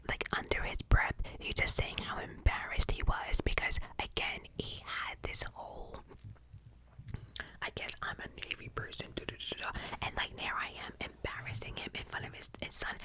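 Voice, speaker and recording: A woman telling a personal story in a steady whisper, casual and profane, with many fillers. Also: normal speed, low-quality recording. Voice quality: whispering throughout.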